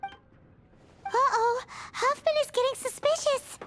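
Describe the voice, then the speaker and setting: A woman voicing a tiny, excitable, childlike fairy companion in a video game, squeaky and exclamatory. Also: slow pace, a little hiss or hum, full character voice. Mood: panicked. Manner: exclamatory, refers to self by name.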